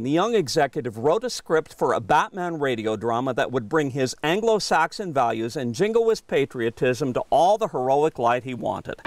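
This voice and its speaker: Man, scripted documentary narrator, lively swooping delivery, fairly high voice, music underneath. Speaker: narrator and presenter.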